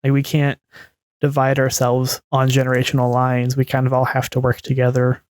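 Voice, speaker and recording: A woman's voice: very deep voice, monotone, normal speed, a little mellow, no echo. A woman in her twenties in casual discussion as a podcast co-host. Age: 20s.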